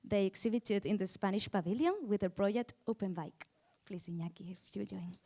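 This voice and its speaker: A woman's voice: mid-range voice, brisk, very noisy. A woman speaking as the host of an event, giving a semi-formal welcome and introducing the speakers.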